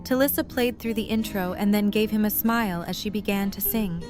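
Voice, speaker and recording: Lady narrator reading a story script, mid-pitched voice, faint music behind. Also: brisk.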